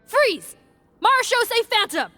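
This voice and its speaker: A woman's voice: extremely high pitch, lively intonation, slow pace, pushed and bright, soft background music, heavily acted. An actress playing a stern, authoritative female prison guard officer in a game cutscene. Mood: commanding. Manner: commanding, authoritative.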